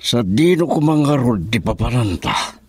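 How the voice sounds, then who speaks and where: A man's voice: mid-range voice, very animated, moderate pace, darkish tone, slightly rough, dry recording, clean studio sound. A man acting in a radio drama as an elderly, patient and caring father, with an Ilocano accent. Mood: puzzled, concerned.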